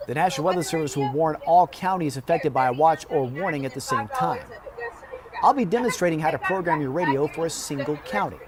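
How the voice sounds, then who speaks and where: A man presenting a scripted how-to demonstration, going through each step in a clear, orderly way. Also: on the high side, lively intonation, a little fast, a crowd in the background. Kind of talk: scripted instructional narration.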